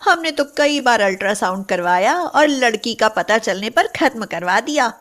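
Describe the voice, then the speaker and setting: Woman acting a high-voiced, smug, greedy village wife. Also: expressive, normal speed, a bit bright. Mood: gloating. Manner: complaining, gloating. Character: greedy, smug, mocking wife of a rich farmer. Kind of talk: moral story cartoon dialogue.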